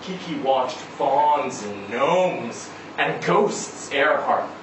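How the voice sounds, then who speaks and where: A man giving a dramatic live reading of a short story, with measured, deliberate phrasing and pauses. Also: medium pitch, lively intonation. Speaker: story reader at a live literary event. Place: indoor event venue before a live audience. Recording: echoey room, distant mic, noisy background.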